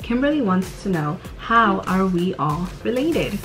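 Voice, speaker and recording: A woman's voice: medium pitch, sing-song, measured pace, fairly bright tone, faint music behind. A woman co-hosting a casual Q&A in a small room, joking in a playful, teasing way. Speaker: co-host of a channel Q&A. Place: small dim bedroom, indoors.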